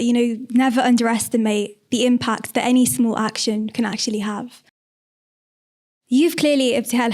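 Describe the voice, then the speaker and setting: A woman hosting a podcast interview, asking questions in a measured, encouraging way. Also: a little high, a little mellow. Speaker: host, interviewer.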